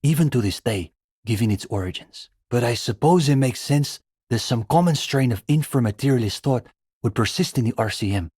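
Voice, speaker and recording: A young male communist student for a video game. He is bookish and wry, wording things formally with earnest condescension. The voice sits at a middle pitch, recorded close and dry. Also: animated, a little fast, a little mellow, slightly rough, no room sound. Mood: eager, intellectual. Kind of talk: scripted video game character dialogue.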